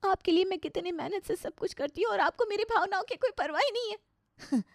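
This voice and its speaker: A woman's voice: extremely high pitch, expressive, fairly quick, no echo, studio quality, pitched above the performer's own voice. A woman voicing a hurt, timid young wife in a moral story, plaintive, earnest and emotional. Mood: hurt, upset. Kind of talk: dramatised Hindi moral story.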